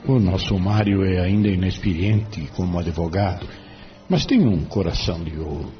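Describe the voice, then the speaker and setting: A man's voice: low-pitched, moderate pace, soft background music, natural voice. An actor with a Brazilian accent playing a benevolent, inquisitive male spirit mentor in an audio drama. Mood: fond, assured. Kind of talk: audio drama acting in Portuguese. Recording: dull lo-fi audio.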